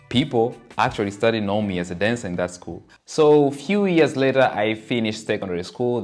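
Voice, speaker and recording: A man in his twenties from Tanzania, telling his life story to his channel in an earnest, animated way. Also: fairly low pitch. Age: 20s. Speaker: content creator. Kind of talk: personal storytelling to camera.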